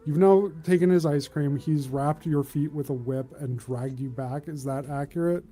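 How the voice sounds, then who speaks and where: A man acting as game master, improvising tabletop narration in a measured, descriptive way with mid-sentence pauses. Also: on the high side, mellow.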